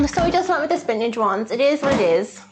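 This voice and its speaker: An Irish woman talks in a fairly high voice, chatty and playful. She speaks off the cuff as a streamer would to her viewers, with some music playing behind her. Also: lively intonation, a little fast. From Ireland. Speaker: live streamer. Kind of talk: casual live cooking stream chat. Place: indoors, in a kitchen.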